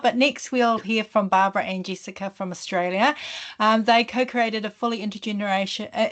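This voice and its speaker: A woman moderating an online webinar in a mid-range voice. She introduces speakers casually, pausing and repeating words as she goes. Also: mid-range voice.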